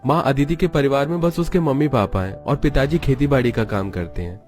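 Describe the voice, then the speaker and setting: An earnest young cartoon husband pleads his case and stands by his bride, as a man voices him. His voice is plain and mid-pitched. Light music plays underneath. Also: a little fast, natural voice.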